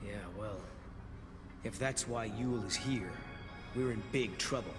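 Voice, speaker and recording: An actor playing an earnest, casual-spoken young adventurer in a video game. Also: male voice, on the low side, unhurried, big-room sound, close to an everyday voice. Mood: serious. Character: earnest young male time-traveling adventurer, determined. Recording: game music behind the voice.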